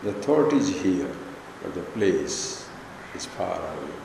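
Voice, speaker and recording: A man with an Indian accent leading a guided meditation talk as a spiritual teacher, speaking in measured, deliberate short phrases with pauses. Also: low voice, big pitch swings, vocal fry, a little mellow. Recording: echoey hall, long reverb, background noise.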